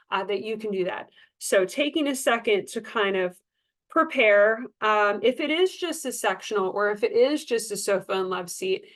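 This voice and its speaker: Woman giving informal sales training. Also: mid-range voice. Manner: coaching, emphatic, conversational, acts out example dialogue. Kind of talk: informal staff training talk.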